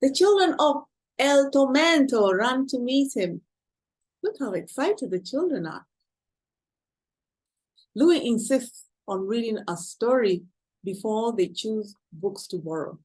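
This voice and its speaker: A woman's voice: fairly high pitch, lively intonation, slow, rough recording. A woman reading a picture book aloud to children, expressively and with questions to the listeners. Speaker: children's storyteller.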